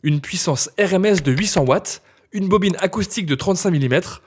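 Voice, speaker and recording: A man's voice: high voice, fairly quick, slight background noise. A man narrating a scripted tutorial as an instructor, explaining things step by step.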